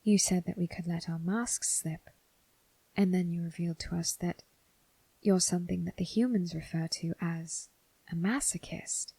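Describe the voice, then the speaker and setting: A woman voicing a coolly curious, formal demon servant, softly teasing and half-whispering in an ASMR roleplay. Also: lowish voice, steady tone, no echo. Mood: teasing, knowing. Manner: soft, measured, breathy, half-whispered.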